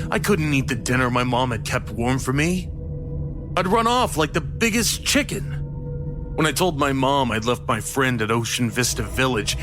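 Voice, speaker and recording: A man tells a scary first-person tale as a dramatic narrator. His voice sits at an ordinary male pitch, and music plays clearly behind him throughout. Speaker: story narrator. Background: prominent background music.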